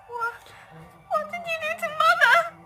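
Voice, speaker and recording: A woman voicing a frightened little filly pony, squeaky and panicked, in a dramatic fan fiction reading. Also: unhurried, sharp tone, faint music behind, exaggerated voice.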